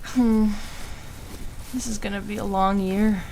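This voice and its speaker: A woman's voice: mid-range voice, steady tone, a little slow, gravelly, a little mellow, a little hiss or hum, rough recording. A woman playing an anxious, self-doubting young woman in an audio roleplay, hesitant and emotional, defiant when pushed. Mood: torn, self-loathing. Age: young adult.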